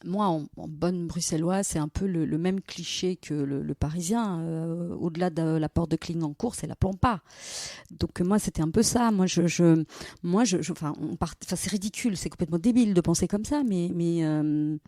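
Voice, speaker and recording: A woman in her forties from Brussels, a lawyer telling stories as a podcast guest, lively and laughing often. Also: on the low side, normal speed, no echo, clean studio sound. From Belgium, Brussels. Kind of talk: casual storytelling interview.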